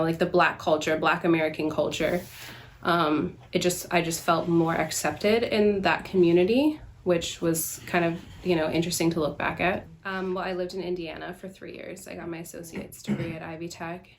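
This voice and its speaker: A woman's voice: fairly low pitch, level tone, moderate pace, a little hiss or hum. A young woman from the Bahamas answering interview questions about herself in a conversational, reflective way. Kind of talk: candid personal interview.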